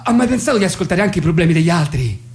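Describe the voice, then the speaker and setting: A man's voice: high voice, big pitch swings, fast talker, a little hiss or hum. An actor playing a polite but exasperated, sleep-deprived young man who hesitates and protests in a comic radio play. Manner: hesitant, repeating himself, protesting.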